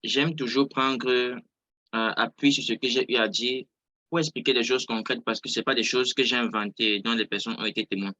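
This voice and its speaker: A man's voice: medium pitch, fairly even intonation, normal speed, bright, forceful tone, dry recording. A man who is not a native French speaker, giving an informal live online lesson as a trading instructor, often repeating and rephrasing his words for emphasis. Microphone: close mic on an online video call.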